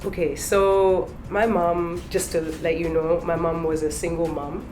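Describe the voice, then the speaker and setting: A woman's voice: on the low side, level tone, measured pace, darkish tone, faint music behind. A middle-aged woman, a trainer, chatting earnestly on a panel and telling her own story. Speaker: guest trainer. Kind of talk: casual panel chat.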